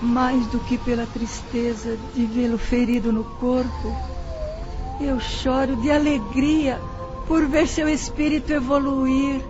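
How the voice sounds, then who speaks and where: A woman's voice: sits high, little pitch movement, takes it slowly. An actress playing the humble, sorrowful spirit of a dead mother, making a solemn, tearfully moved confession in an audio drama. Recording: dull lo-fi drama track with music.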